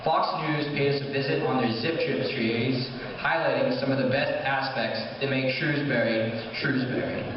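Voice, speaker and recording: A young man, a high school class officer, reading a prepared speech aloud at a school ceremony. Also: steady tone, moderate pace, few pauses. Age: young adult. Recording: distant echoey hall, muffled, noisy.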